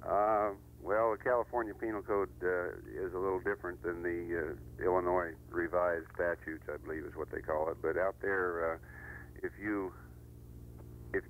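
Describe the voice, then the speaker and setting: A deep-voiced middle-aged American man phones in to a radio show. He explains things in a halting, casual way over a faintly noisy, humming line. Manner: hesitant, halting.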